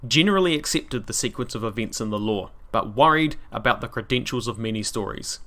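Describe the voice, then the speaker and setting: New Zealand male podcast host, bright timbre, scripted history reads with cheeky asides. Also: medium pitch, lively intonation, a little fast. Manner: wry, casually irreverent asides.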